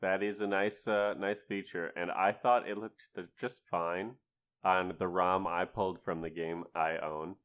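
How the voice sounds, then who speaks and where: Male podcast panelist with a low voice, informal and conversational. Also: little pitch movement, measured pace, fairly bright tone, clear, resonant tone. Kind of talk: casual chat.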